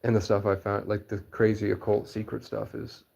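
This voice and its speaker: A man's voice: lowish voice, flat delivery, moderate pace, gravelly, a little mellow, dull audio. A man being interviewed, talking in a rambling way that is full of fillers and restarts. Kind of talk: rambling candid chat.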